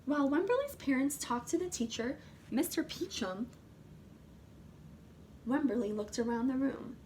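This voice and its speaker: A woman's voice: high-pitched, lively intonation, darkish tone, a little hiss or hum. A woman teacher reading a children's storybook aloud expressively, in short phrases for young listeners. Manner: pauses to ask listeners questions. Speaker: kindergarten teacher.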